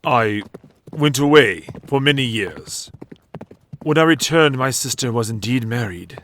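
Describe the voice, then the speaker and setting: A man's voice: medium pitch, lively intonation, a little slow, fairly bright tone, a little hiss or hum. A man playing a brooding, gallant medieval undead knight with formal, archaic, chivalrous speech in a comic dub. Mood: melancholy.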